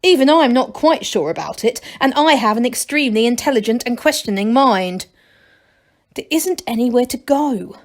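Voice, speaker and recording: A woman's voice: fairly high pitch, expressive, normal speed. A woman voicing a clever, self-assured, impatient male gnome from a children's storybook, in an emphatic know-it-all manner. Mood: knowing, cautioning. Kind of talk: children's storybook read aloud.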